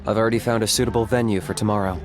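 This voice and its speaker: A man voicing a stoic young warrior in a video game, calm and deadpan, always blunt and practical. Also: fairly low pitch, rapid-fire, over loud music, lightly acted.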